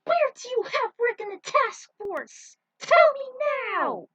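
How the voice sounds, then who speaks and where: A woman voicing a fiery young female racing pilot who shouts and pleads in a high, strained voice. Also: very animated, slow, lo-fi sound, exaggerated voice. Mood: furious. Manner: shrill, shouting, desperate.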